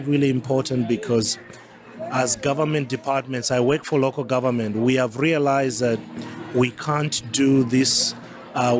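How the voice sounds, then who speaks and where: A man in his forties from Zambia with a Southern African accent gives measured, formal answers as an interview guest. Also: mid-range voice. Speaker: interview guest, climate change adaptation advisor. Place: busy festival venue. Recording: crowd chatter behind the voice.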